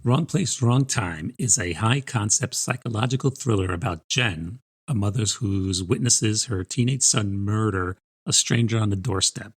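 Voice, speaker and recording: A man's voice: fairly low pitch, normal speed, hardly any pauses, husky. A man co-hosting a book podcast, talking through a review in a conversational way.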